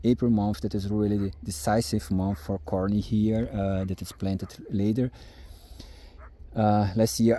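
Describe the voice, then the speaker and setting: Brazilian-accented farmer, low and mellow. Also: male voice. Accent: Brazilian Portuguese. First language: Portuguese. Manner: hesitant, many fillers and restarts. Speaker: farmer vlogger. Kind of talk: casual outdoor vlog. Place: farm field outdoors.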